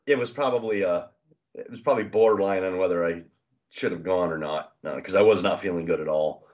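A man with a very low voice co-hosts a podcast, his nose plainly stuffed up from a cold. He answers with dry, easygoing deadpan remarks. The audio is a little dull. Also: fairly quick. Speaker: podcast co-host. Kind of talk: casual chat.

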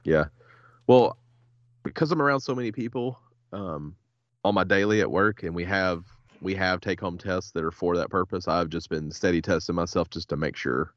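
A deep-voiced man with a head cold hosts a podcast in a loose, joking way. His sound is a bit dull and muffled. He drops casual curses and laughs easily with his co-hosts. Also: moderate pace, no echo. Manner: laid-back, joking, says "hell yeah" often. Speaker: podcast host. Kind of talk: casual chat.

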